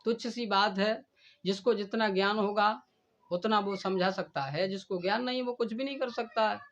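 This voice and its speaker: A man delivering a stern, deliberate admonishing speech in a preachy manner. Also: high voice. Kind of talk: admonishing speech addressed to a rival. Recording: dull, lo-fi recording.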